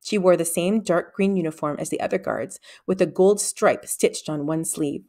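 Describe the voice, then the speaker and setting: A woman reading a storybook aloud in expressive narration. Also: fairly low pitch, moderate pace. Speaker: audiobook reader.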